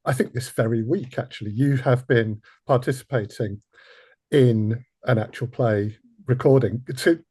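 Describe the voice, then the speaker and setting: A man's voice: medium pitch, a little slow, warm tone, husky. A man hosting a podcast, interviewing guests in a conversational way.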